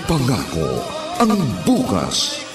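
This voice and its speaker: A man with a Filipino accent announcing a radio drama in grand, drawn-out, singsong scripted narration. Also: medium pitch, huge pitch swings. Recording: music bed behind voice.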